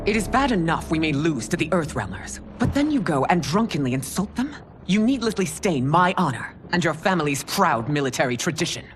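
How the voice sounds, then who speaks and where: Low-voiced, imperious empress. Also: female voice, sing-song, a little fast, over music. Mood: stern, displeased. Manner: formal, imperious. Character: stern, regal, commanding mature empress. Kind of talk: dramatic video game acting.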